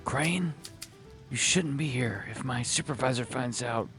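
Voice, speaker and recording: A man's voice: mid-range voice, measured pace, a bit bright, faint music behind, pitched above the performer's own voice, close to an everyday voice. A man playing a nervous, furtive night-shift records clerk in a noir audio drama, talking in urgent, clipped phrases. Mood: nervous, secretive.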